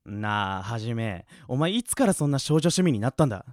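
An actor playing a rough-spoken, exasperated teenage schoolboy, the straight man in a comedy radio drama. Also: mid-range voice, sing-song, brisk, no room sound, clean studio sound, natural voice. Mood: weary, grumbling. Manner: blunt, rough-spoken, retorting. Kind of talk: anime-style comedy radio drama acting. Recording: loud music bed under the voice. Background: music.

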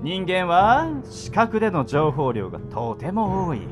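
A man's voice: high voice, big pitch swings, unhurried, music in the background. A man acting a playful, mock-polite sadistic torturer in an amateur radio drama. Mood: playful menace. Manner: sing-song mock politeness with giggling.

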